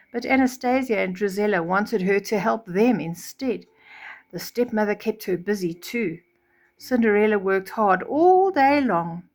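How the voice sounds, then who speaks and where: An older woman reading a children's storybook, pausing to explain things simply as a bedtime storyteller. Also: mid-range voice.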